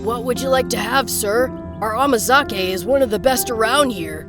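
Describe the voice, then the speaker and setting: A woman playing a polite, nervous tavern server in an animated story set in old Japan, deferential and hesitant. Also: fairly high pitch, normal speed, lightly acted. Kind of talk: dramatized animated bedtime story. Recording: loud music under the voice.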